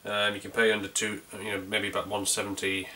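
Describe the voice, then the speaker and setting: This is a man with a North Yorkshire accent talking his way through a homemade beer review. His voice sits a touch low but comes across bright and forceful. He rambles in a friendly way, full of fillers and restarts. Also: completely flat delivery, fast, slight background noise. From North Yorkshire, England. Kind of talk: casual video beer review.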